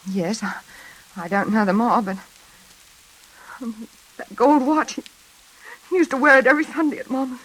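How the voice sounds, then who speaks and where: An actress playing a frightened, high-strung woman in an old-time radio suspense drama, speaking in an anxious, halting, pleading way. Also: lively intonation, a bit bright, poor audio. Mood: subdued, shaken. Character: frightened, high-strung woman haunted by a convict's revenge threat.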